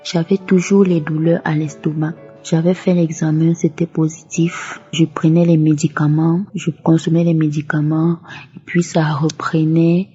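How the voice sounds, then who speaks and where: A woman's voice: sits low, mellow, slightly rough. A devout woman giving a religious testimony and offering thanks. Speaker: testimony giver. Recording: muffled lo-fi audio over music. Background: background music.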